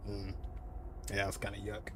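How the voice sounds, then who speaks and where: A man's voice: fairly low pitch, monotone, moderate pace, a little hiss or hum. A man live-streaming a game, chatting casually and rambling as he answers viewers, often repeating his phrases.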